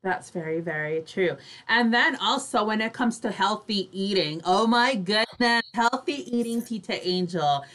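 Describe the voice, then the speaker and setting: A woman's voice: mid-range voice, animated, measured pace, hardly any pauses, fairly bright tone, low-quality recording. A chatty, emphatic woman co-hosting a casual online talk show, readily agreeing as she talks. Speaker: co-host.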